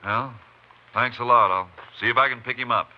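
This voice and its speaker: A man's voice: mid-range voice, normal speed, natural voice. An actor playing a terse, determined Texas Ranger lawman in a 1950s radio western. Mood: businesslike. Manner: terse, matter-of-fact. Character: steady, determined Texas Ranger tracking a killer. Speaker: lead actor and narrator. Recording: noisy muffled 1950s radio recording.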